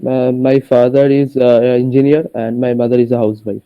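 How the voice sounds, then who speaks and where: A man's voice: mid-range voice, flat delivery, fairly quick, clear, resonant tone. A young male student from West Bengal politely introducing himself, a bit hesitant. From West Bengal, India. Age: young adult. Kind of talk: self-introduction. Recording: dull online call audio.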